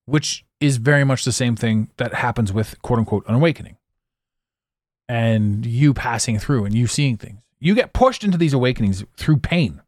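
A man hosting a solo podcast, talking intensely and repeating words as his voice swings between loud and quiet. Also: medium pitch, expressive, moderate pace, no room sound, studio quality. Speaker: podcast host.

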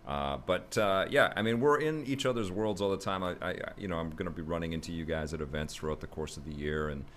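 A deep-voiced man hosts a relaxed podcast chat, easygoing and agreeable as he steers the talk. His voice is among the lowest for a man. A faint hiss sits under the recording. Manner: easygoing, agreeable, quick to laugh along. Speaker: podcast host.

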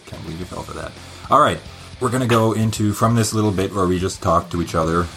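A man hosting a podcast intro, explaining the format in a deadpan, mock-formal way. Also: low-pitched, fast talker, vocal fry, faint music behind. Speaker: podcast host. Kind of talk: earlier podcast episode intro.

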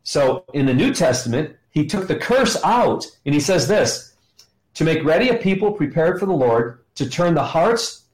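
A middle-aged or older man, a podcast guest, explaining scripture conversationally with emphatic pauses and rhetorical questions in a roomy recording. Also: on the high side, sing-song. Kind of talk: teaching in conversation, quoting scripture. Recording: roomy, echoing sound.

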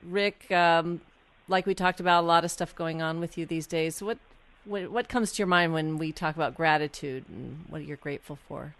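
A man hosting a casual radio-style interview, speaking conversationally and a little haltingly, repeating words as he goes. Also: a little high, a bit bright, clear, resonant tone. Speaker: interview host.

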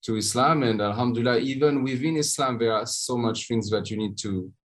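A man from France with a French accent, a podcast guest telling his story in a halting way with frequent pauses and restarts. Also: mid-range voice. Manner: halting, many uh fillers and restarts. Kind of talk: conversational interview storytelling.

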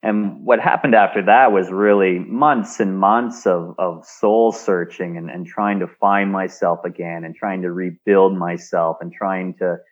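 A man's voice: low-pitched, fairly even intonation, a little slow, hardly any pauses, highs cut off. A Canadian man telling his story as an interview guest, often repeating and restarting words. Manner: frequent word repeats and restarts. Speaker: guest, speaker and engineer. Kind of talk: remote interview, reflective storytelling.

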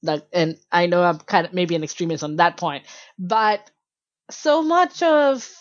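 A woman's voice: fairly low pitch, expressive, unhurried, no room sound, lo-fi sound. A woman from Malaysia, a guest in a casual interview, talking with passion and many filler words.

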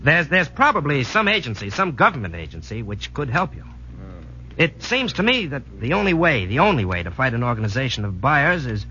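An actor playing an earnest, jittery young American soldier in 1940s radio drama style, excitable and prone to stammer. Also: male voice, medium pitch, fairly quick. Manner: excitable, emphatic, stammering when nervous. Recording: dull, noisy vintage radio recording.

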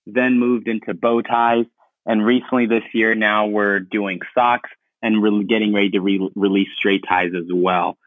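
A young man from Ghana, a podcast guest, telling his story in relaxed casual talk with many fillers. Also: mid-range voice, dry recording. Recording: dry tinny phone-like line.

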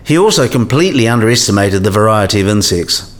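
A man's voice: fairly low pitch, animated, fast talker, lightly acted. A man playing a learned professor in a radio play, explaining science history in a precise, didactic way. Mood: measured, instructive.

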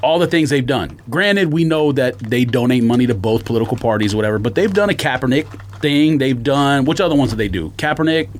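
A man's voice: mid-range voice, lively intonation, brisk, hardly any pauses, slight background noise. A man hosting a casual podcast, talking in an emphatic, slangy, opinionated way with exasperated rhetorical questions. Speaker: podcast host.

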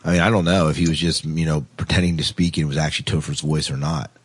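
A man with a very low, rough-edged voice chats casually as a podcast co-host. He is enthusiastic and repeats words for emphasis. The sound is close and dry, with little room on it. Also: steady tone, quick pace, no room sound. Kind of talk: casual chat about sci-fi TV.

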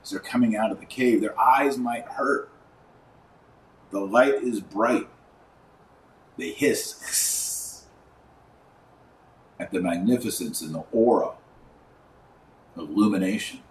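A man hosting a religious commentary podcast, speaking in an emphatic, didactic, preachy way with deliberate stresses and repetition. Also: on the low side. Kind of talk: preachy commentary to camera or microphone. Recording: roomy echo, distant mic, faint noise.

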